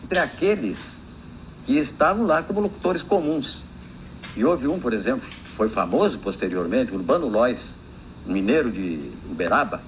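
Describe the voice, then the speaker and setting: A man's voice: medium pitch, moderate pace. An older Brazilian man, a veteran broadcaster, informally telling an anecdote from memory in a noisy archive recording. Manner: animated anecdotal telling, acting out quotes. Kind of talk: informal spoken reminiscence.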